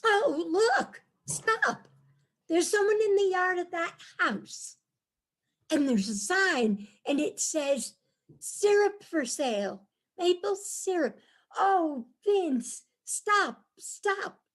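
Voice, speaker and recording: A wide-eyed, cheerful wife from the city, acted by a woman in a play reading, is enchanted by country life. She is chatty and dreamy, with a high voice and an unhurried delivery. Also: heavily acted. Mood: excited. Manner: chatty, dreamy, easily excited. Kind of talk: staged reading of a comic play.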